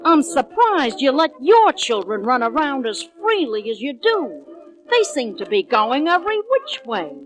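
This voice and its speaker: A woman's voice: high voice, big pitch swings, a little slow, faint music behind, poor audio. A woman playing a gracious, fussy mother mountain lion in a children's read-along record, drawing out her genteel, motherly chatter. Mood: fussy, concerned.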